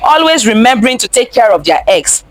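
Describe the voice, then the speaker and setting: A woman's voice: a little high, big pitch swings, fairly quick, dry recording. A woman reading a picture book aloud to children, expressively, repeating phrases and stopping to ask them questions. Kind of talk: interactive children's story time read-aloud.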